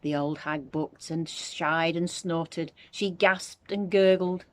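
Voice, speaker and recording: A woman reading a children's story aloud as a teacher would, with expressive narration. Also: on the low side, takes it slowly.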